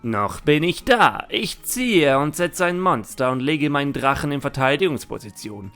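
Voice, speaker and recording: A man's voice: a little high, animated, normal speed, a bit bright, soft background music. A man playing a confident, defiant young duelist with a dragon deck in an anime fan audio drama. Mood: determined.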